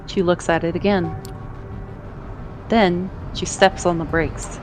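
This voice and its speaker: A woman's voice: fairly low pitch, steady tone, takes it slowly, loud music behind the voice. A woman reading scripted second-person narration as the narrator of an amateur story dub.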